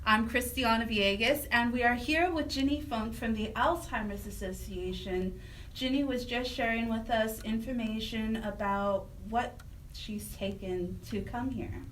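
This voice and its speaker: An American woman co-hosts a radio interview program. Her voice sits a bit high. The mic is slightly distant and a faint noise runs underneath. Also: unhurried, a bit bright. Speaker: radio co-host. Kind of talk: radio hosting, interview talk.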